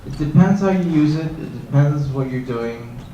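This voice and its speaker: A man's voice: fairly high pitch, normal speed, dark tone, lo-fi sound. Mid-voiced male panelist, chatty explainer, recorded far off in a cavernous room. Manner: explanatory, loose and conversational. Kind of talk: panel discussion. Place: conference hall. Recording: echoey hall from far off, faint noise.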